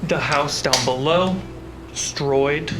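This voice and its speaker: A game master narrating a tabletop role-playing game in a measured way, pausing between phrases, with music behind the voice. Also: medium pitch, noisy background. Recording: background music under the voice.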